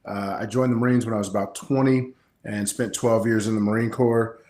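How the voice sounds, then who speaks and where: A man's voice: fairly low pitch, fairly even intonation, brisk, darkish tone. American man in a roomy, echoing space. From Kansas City, Missouri, United States. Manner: straightforward, explanatory. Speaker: guest, personal development coach. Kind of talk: conversational interview answers.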